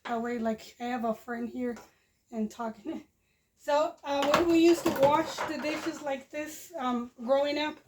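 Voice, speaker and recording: A woman's voice: high voice, measured pace, very noisy. A woman in her forties from Mexico with a Spanish accent, chatting casually and rambling as she washes dishes in her home kitchen, recorded on a nearby phone. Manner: rambling, restarts sentences, chuckles often. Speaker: homestead vlogger. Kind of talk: casual chat while doing chores. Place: home kitchen by a wood stove. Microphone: phone camera propped nearby.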